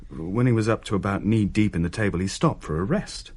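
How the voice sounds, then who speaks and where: A man's voice: fairly low pitch, a little fast, a little mellow, husky. An actor playing a young man who tells of things in a hesitant, descriptive way in a naturalistic radio play. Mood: haunted, awed. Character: uneasy younger man recounting a ghost sighting.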